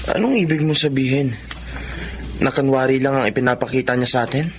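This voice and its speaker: An actor playing a sensible, caring young older brother in a Tagalog radio drama. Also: male voice, mid-range voice, steady tone, brisk, lightly acted. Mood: questioning, reproving. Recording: background noise and ambience.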